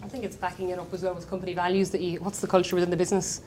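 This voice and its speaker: Fast-talking woman on a panel. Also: lowish voice, little pitch movement, mellow, a little hiss or hum. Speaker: round table panelist. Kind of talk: panel discussion.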